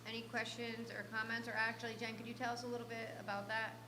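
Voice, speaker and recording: Brisk woman chairing a meeting. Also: on the high side, flat delivery. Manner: brisk, procedural, a little informal. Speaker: board chair running the meeting. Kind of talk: formal meeting procedure. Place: public meeting room. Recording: roomy meeting room with background noise.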